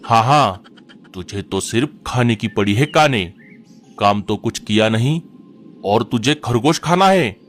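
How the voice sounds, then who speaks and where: A man's voice: mid-range voice, lively intonation, a little slow, soft background music, close to an everyday voice. An actor playing a greedy, scheming male vulture who bosses his partner in a cartoon story. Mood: scolding. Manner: gruff, bossy and scolding. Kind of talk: cartoon voice acting.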